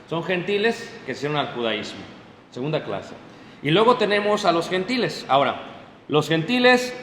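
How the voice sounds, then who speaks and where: A man preaching a lively sermon to his congregation, often stopping to check they follow. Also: a little high. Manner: animated, addresses the congregation directly with frequent checks. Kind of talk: sermon, Bible class. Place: church hall. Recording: echoey hall, faint room noise.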